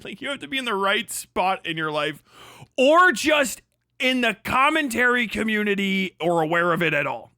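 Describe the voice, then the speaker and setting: Male livestream host: lively swinging pitch, close dry sound, crude joking commentary, stumbles and repeats. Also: no echo. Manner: profane, joking, halting and repetitive in delivery.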